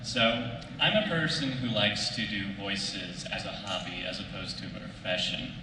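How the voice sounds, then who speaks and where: A man with a lowish voice puts a casual question from the audience. His voice is distant and echoes around a hall with clear noise behind it. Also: lively intonation, normal speed. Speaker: audience member asking a question. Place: convention panel room. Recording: echoey noisy hall from far off.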